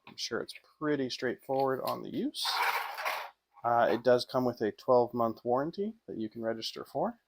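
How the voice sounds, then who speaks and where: A man's voice: mid-range voice, lively intonation, normal speed. A man giving a casual hands-on unboxing review in an informal, off-the-cuff way. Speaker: product reviewer.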